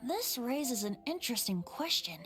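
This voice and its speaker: A high, boyish bard from a video game, whimsical and teasing. The lines lilt up and down playfully, with clear music underneath. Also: female voice, very animated, moderate pace, a bit bright. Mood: musing, playful.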